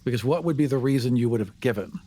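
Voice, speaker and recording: A man's voice: medium pitch, fast talker, warm tone. A man playing a tabletop game, bantering casually with jokey questions. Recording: music playing behind the voice.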